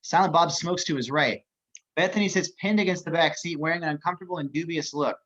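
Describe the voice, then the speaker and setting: A man's voice: on the high side, fast talker, a bit bright, lo-fi sound. A man reading out the action descriptions of a screenplay as scripted narration.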